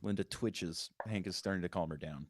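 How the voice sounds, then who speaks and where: A man's voice: low voice, brisk, no echo, studio quality. A man giving scripted narration, reading out the stage directions at a table read.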